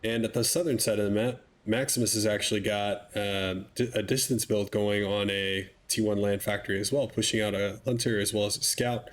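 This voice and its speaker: A young man with a somewhat low voice calls a strategy match, sounding stuffed up and a little nasal. He talks in a relaxed, chatty way and drops wry asides. A faint hum runs under the recording. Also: a little fast, hardly any pauses. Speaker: game caster. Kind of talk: video game commentary.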